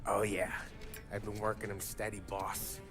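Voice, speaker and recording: An actor playing a brash, talkative gangster who spins stories and cracks wise in a game cutscene. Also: male voice, lowish voice, level tone, moderate pace, over music, natural voice. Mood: scheming. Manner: boastful storyteller, wisecracking. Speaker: mob crew member. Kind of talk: 1930s gangster game dialogue.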